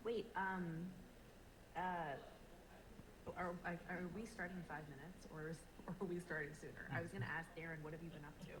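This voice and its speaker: A woman's voice: on the low side, fairly even intonation, fairly quick, background noise. A woman, chatting casually on a video call, talking with lots of fillers and laughing easily. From California. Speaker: guest speaker on a video call. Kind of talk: casual chat.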